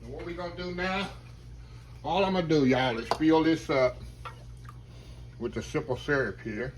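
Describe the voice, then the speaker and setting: A man, chatting to viewers as he cooks in his home kitchen, his voice slightly hoarse. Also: fairly high pitch, moderate pace, slight background noise. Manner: chatty, keeps addressing viewers as y'all. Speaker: home cook. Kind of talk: casual cooking demonstration.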